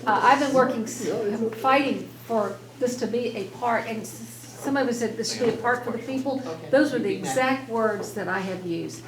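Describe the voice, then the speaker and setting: A soft-spoken woman on city council, addressing residents at a public meeting in an earnest, persuasive way that rambles a little. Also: a little high, moderate pace, hardly any pauses, crowd noise. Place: public meeting room, indoors. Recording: echoey meeting room.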